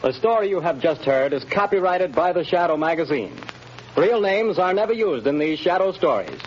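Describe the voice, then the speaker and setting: A man announcing in a formal, crisp style for a 1930s radio drama. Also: a little high, sing-song, moderate pace. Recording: muffled 1930s radio broadcast over music.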